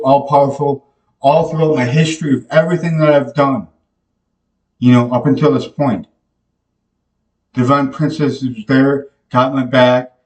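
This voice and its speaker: Young guy rambling slowly at his webcam, lots of um and like, sounds far off in a bare echoey room. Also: medium pitch, darkish tone. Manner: slow, rambling, with many fillers and restarts. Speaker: podcast host. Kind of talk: rambling devotional monologue. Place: small bare room indoors. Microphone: camera mic from a few feet away. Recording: echoey room, voice a bit distant from the mic.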